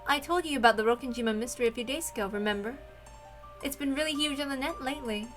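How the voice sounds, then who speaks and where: A high voice with music behind it, chatty and informative. It is a woman reading the part of an intrigued female mystery buff in a visual novel, eager to explain what she knows. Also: quick pace, fairly bright tone. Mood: absorbed, intrigued. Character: Ikuko, computer-absorbed woman, chatty enthusiast of mysteries.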